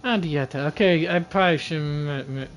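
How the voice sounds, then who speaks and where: A woman's voice: low-pitched, brisk. A woman game streamer reacting casually during a live stream.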